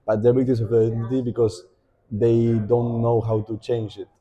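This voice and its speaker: A young man with an Argentine Spanish accent, Spanish as his first language, talking as a podcast guest in a thoughtful way, pausing to think and often restarting his sentences. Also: medium pitch, little pitch movement, mellow, husky, highs cut off. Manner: reflective, thinking aloud with many fillers and restarts. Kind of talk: casual podcast conversation.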